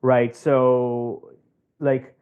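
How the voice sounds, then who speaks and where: A man's voice: mid-range voice, level tone, extremely slow, clean tone, narrow, tinny audio. A man who grew up in West Africa, explaining advice conversationally as a podcast guest, with examples and repeated phrases for emphasis. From West Africa (Cameroon and Ivory Coast). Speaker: podcast guest, job interview coach.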